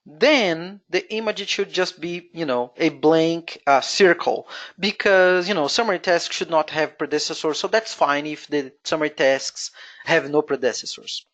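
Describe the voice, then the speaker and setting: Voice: medium pitch, a little slow, a bit bright. Brazilian-accented webinar presenter. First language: Portuguese. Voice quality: explains step by step, thinking aloud with frequent "you know". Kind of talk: screen-share software tutorial.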